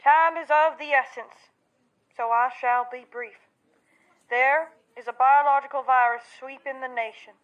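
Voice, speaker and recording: A woman's voice: high-pitched, steady tone, unhurried, fairly bright tone. A woman playing a cowardly American president in a comedic radio play, formal and prone to hysterics. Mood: grave, urgent. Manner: formal address breaking into hysterics. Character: panicked, cowardly American president under zombie attack. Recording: dull, lo-fi audio.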